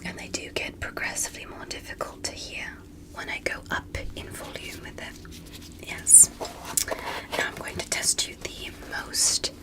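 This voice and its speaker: A woman whispering close to the microphone in a gentle, careful ASMR roleplay as a polite, curious alien medical examiner. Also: rough recording. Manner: gentle, slow, careful step-by-step explaining.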